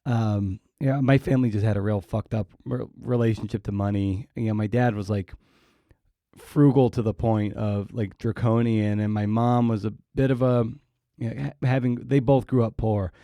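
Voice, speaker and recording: A middle-aged man hosting a casual interview, feeling his way through his phrases in a halting manner. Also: lowish voice, fairly even intonation, warm tone, no echo, studio quality. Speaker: podcast host, interviewer.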